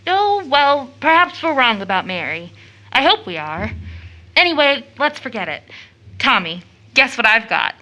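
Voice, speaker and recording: A woman's voice: high voice, sing-song, a little slow, sharp tone, exaggerated voice, poor audio. An actress playing an impulsive, affectionate teenage daughter in an audio drama of an early 1900s play, with an American accent and a gushing, girlish manner. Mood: troubled. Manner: gushing, exclamatory, emotional.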